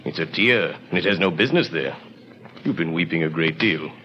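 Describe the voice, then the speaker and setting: A protective older father with a low, deep voice. He speaks in a soft, measured, tender way, in 1930s radio acting style. The recording is dull and faintly noisy. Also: expressive. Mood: tender, concerned. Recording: muffled, faintly noisy 1937 radio broadcast.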